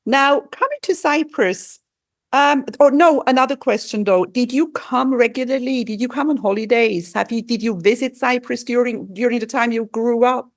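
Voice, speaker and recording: A woman's voice: on the high side, normal speed, dry recording. A woman hosts her interview podcast, praising her guests and asking questions with plenty of enthusiasm. The recording is dry and close, with almost no room sound. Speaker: podcast host.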